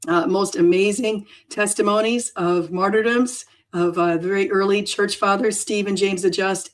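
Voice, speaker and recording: Woman host, froggy hoarse voice, rambling unscripted livestream chat. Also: mid-range voice. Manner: rambling, self-correcting, chatty. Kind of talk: off-the-cuff livestream talk.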